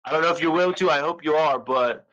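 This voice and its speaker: A man with a fairly high, bright and forceful voice on a recorded phone call. He questions the other person haltingly, repeating and rephrasing as he coaxes out answers. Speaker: caller questioning the other speaker. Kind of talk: tense private phone conversation, questioning.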